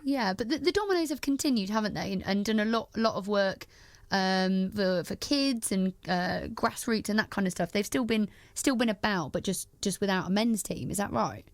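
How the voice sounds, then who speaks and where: A woman hosts a sports chat on local radio in an encouraging, enthusiastic manner. Her medium-pitched voice sounds close and dry, with hardly any room around it. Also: normal speed, no room sound. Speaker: radio presenter interviewing guests.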